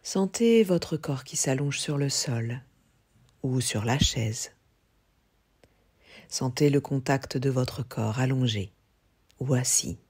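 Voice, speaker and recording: A woman yoga teacher leading a guided relaxation, speaking calmly and in a measured way with long pauses. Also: rumbling low, breathy edge. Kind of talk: guided yoga nidra meditation.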